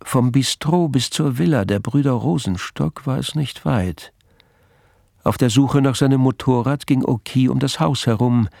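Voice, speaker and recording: Storyteller, gravelly creak, dry studio sound, scripted mystery radio play narration. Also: male voice, medium pitch, unhurried, a little mellow, no room sound. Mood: narrating.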